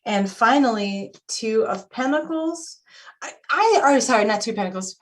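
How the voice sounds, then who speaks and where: Forties woman, guest reader on a video call, explanatory with filler pauses. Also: on the high side, lively intonation. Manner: explanatory, frequent ums. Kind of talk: live video call reading.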